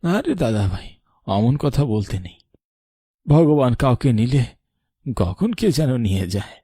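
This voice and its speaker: A man voicing a protective, weary old grandfather in a cartoon, sharp-tongued but affectionate, with a creaky aged voice. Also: mid-range voice, big pitch swings, measured pace, no echo, studio quality. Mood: weary, worried. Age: older. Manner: scolding, then tender storytelling. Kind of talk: cartoon voice acting.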